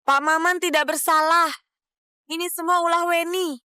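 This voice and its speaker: A woman's voice: extremely high pitch, steady tone, moderate pace, sharp tone, dry recording, studio quality. An actress playing a firm, fair-minded mother with an Indonesian accent in a television drama.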